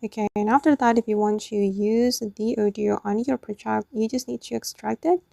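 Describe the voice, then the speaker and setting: A woman's voice: fairly high pitch, fairly even intonation, moderate pace, gravelly, mellow, no room sound, clean studio sound. A woman hosting a casual step-by-step app tutorial, explaining it off the cuff in a slightly hesitant way. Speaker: tutorial host.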